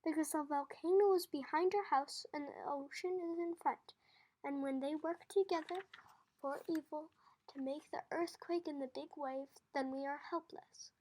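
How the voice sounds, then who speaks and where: Storybook farm boy played by a woman reader: young, high-pitched, anxious and curious, earnest questioning tone. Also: moderate pace, mellow, lightly acted, rough recording.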